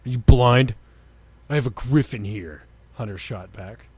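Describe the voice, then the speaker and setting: A man's voice: mid-range voice, measured pace, darkish tone, breathy edge, no room sound. A man hosting a comic dramatic story reading, narrating with sardonic, sweary banter. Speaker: podcast host and reader.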